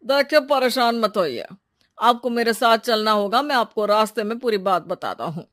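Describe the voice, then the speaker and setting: A woman acts out a policeman in a story drama, calm and official. The voice is dropped low and stays measured and reassuring. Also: dry recording, studio quality. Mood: calm, grave. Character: calm, official policeman bearing bad news.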